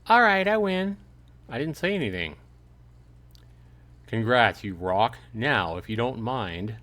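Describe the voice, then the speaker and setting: A man's voice: medium pitch, sing-song, slow pace, a bit bright. Grumpy, sleepy game protagonist, read by a male streamer, sarcastic, slightly raised everyday voice. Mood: dry, sarcastic. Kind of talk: playful character reading on a livestream.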